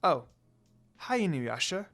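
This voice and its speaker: An earnest young woman from an anime-style story, vulnerable and easily startled, acted out by a reader with a very lively pitch. She sounds open and questioning, with music playing behind her. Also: takes it slowly, exaggerated voice. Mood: friendly, curious. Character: young woman, frightened, hurt, confused, earnest.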